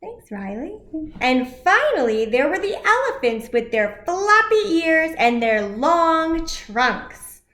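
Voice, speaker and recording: A woman with a high voice reads a picture book aloud to small children. She takes her time over simple phrases, like a school educator addressing young listeners. The room adds a noticeable echo. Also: very animated, takes it slowly, fairly bright tone. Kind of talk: children's storybook read-aloud.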